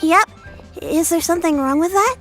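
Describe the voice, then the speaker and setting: Plucky kid girl in a game, really high voice, earnest and polite, bit of music behind. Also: normal speed. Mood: cheerful. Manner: earnest, youthful, upbeat. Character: plucky, polite young girl, patient carer for her granny. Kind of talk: video game voice acting.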